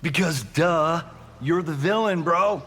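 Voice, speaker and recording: An actor playing a flippant, sarcastic movie-star fighter with a slangy manner in a fighting game. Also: male voice, on the high side, slow, a bit bright, husky, long reverb tail, heavily acted. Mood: brash, mocking. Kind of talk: fighting game character dialogue.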